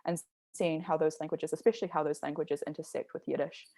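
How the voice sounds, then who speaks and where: Low, gravelly young woman, thoughtful. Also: fairly even intonation, fast, dark tone, dry recording. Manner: reflective, explaining carefully. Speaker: artist in conversation. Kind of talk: thoughtful conversational talk.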